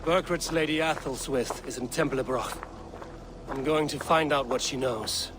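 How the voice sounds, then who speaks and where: An actor playing a steadfast Viking warrior leader in a video game, blunt, firm and measured in delivery. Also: male voice, medium pitch, noisy background, natural voice. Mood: resolute. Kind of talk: dramatic video game acting. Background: music.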